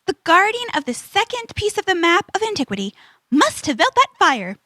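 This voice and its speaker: A woman playing a sensible, earnest young adventurer in a children's audio drama, expressive and easily astonished. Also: very high-pitched, moderate pace, dry recording, full character voice. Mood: curious. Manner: earnest, expressive, stammers in disbelief.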